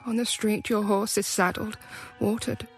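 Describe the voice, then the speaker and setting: A woman playing an anxious young wife, speaking slowly and lyrically. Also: medium pitch, level tone, lightly acted. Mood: fearful. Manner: slow, lyrical, fearful. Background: music.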